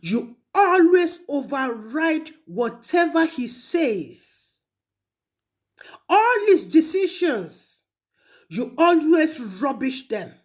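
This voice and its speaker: Nigerian female voice for a stern spoken admonition: measured, slow pacing, big pitch swings, rhetorical repetition. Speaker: speaker addressing a traditional ruler in a recorded message. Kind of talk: admonishing recorded audio message.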